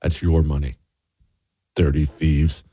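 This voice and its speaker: A man's voice: very deep voice, flat delivery, slow, mellow, husky, no room sound. Blunt American male talk host, dry studio sound. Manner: emphatic, rhetorical questions, blunt sarcasm. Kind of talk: opinionated political monologue. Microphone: close studio microphone.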